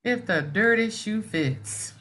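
A woman's voice: medium pitch, expressive, slow pace, fairly bright tone. A woman plays a middle-aged schoolteacher in a 1970s stage drama. She is proud and mouthy, with a pointed, needling way of talking. It is a reading over a video call. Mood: accusing. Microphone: video call microphone.

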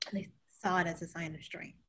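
A woman's voice: fairly low pitch, fast. Candid woman coach on a video call. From born in Okinawa, grew up in California. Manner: candid, animated, trailing off softly at times. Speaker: leadership coach and panelist. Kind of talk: panel discussion. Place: small home room indoors. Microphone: laptop camera on video call.

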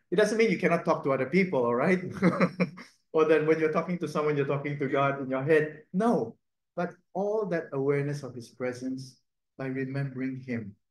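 A man, a priest and native Cantonese speaker, giving a gentle, conversational reflection on the Sunday readings, often adding a questioning "no?". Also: fairly high pitch. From Cantonese-speaking region. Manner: gentle, conversational, tag "no?" and soft laughs. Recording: echoey room.

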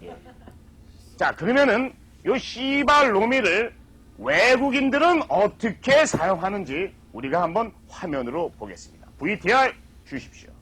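A man on a comedy stage giving a mock lecture, drawing out his words slowly for emphasis. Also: sits high, big pitch swings, fairly bright tone, slightly rough. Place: stage with live audience.

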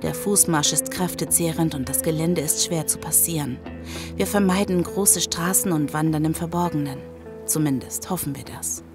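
Casting: actress as brooding female apocalypse survivor, first-person narration, low-pitched, grave and weary, prominent music underneath. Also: moderate pace. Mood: grave, anxious. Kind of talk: scripted first-person audio drama narration.

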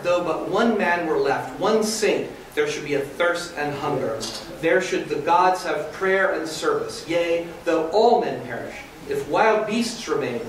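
A man's voice: on the high side, a bit bright. A man voicing a defiant, fervent pagan priest, declaiming archaic verse in a staged dramatic reading. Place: hotel conference room, indoors. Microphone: camera across the room. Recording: very echoey room, distant mic, faint noise.

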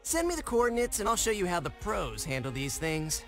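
A man's voice: high-pitched, huge pitch swings, brisk, fairly bright tone, full character voice. An actor voicing a cocky, breezy teenage boy superhero in a video game, with a flippant manner. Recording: music under the voice.

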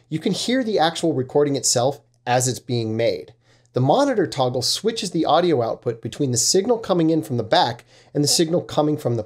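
Man explaining gear with lively, swooping intonation. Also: mid-range voice. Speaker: host of a vintage audio gear review channel. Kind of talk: casual scripted explainer narration.

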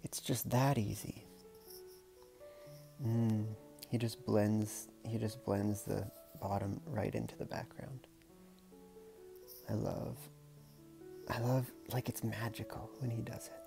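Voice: medium pitch, steady tone, slow, gravelly, pauses often, over music. A storyteller reading a picture book aloud in a casual, playful way, with chatty murmured asides.

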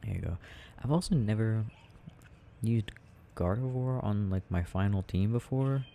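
Slow, low-voiced young man rambling softly. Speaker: audio roleplay creator. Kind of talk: soft casual rambling while gaming, ASMR sleep aid. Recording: faint background noise.

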